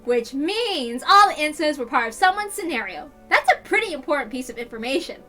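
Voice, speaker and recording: A woman's voice: sits high, sing-song, brisk, sharp tone, soft background music, heavily acted. A woman voicing a brash, skeptical, teasing teenage girl in a visual novel.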